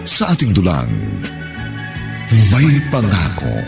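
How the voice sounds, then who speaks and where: A male Filipino radio drama announcer reads a dramatic introduction. He is low and slow, with huge swings in intonation. Loud music plays behind him. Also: wildly swooping pitch.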